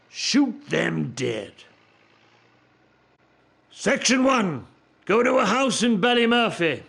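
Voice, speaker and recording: Guy playing stern army commander barking orders, raspy creak, voice jumps up and down a lot, radio play. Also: high-pitched, fairly bright tone, heavily acted. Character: commanding, ruthless military officer briefing his soldiers.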